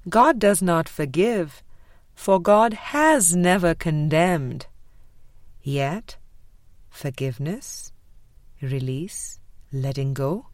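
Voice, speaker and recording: A woman narrating a spiritual audiobook in a measured, deliberate way with frequent pauses. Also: low-pitched, lively intonation, darkish tone, no echo. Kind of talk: scripted spiritual book reading.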